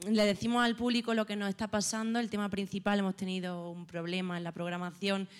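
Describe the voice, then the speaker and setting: A woman's voice: medium pitch, little pitch movement, fast talker. A woman, an actress in the cast, describing her role with lots of fillers and giggles. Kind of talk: press presentation remarks. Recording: long hall reverb.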